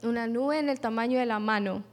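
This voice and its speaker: A woman interpreting a preacher's sermon live, quickly following him line by line. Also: on the high side. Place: large hall. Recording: reverberant hall.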